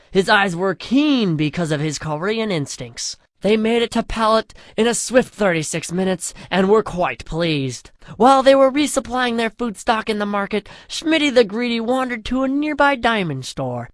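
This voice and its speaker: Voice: medium pitch, no room sound, studio quality. A storyteller narrating a comic fantasy tale in a mock-solemn way.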